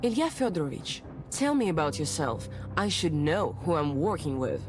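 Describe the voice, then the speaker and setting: A woman's voice: low-pitched, sing-song, normal speed. A woman voicing a well-bred, self-assured young lady in a visual novel, speaking in a composed, articulate, formal way. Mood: brisk, assertive. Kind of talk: visual novel voice acting, narrative dialogue. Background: music.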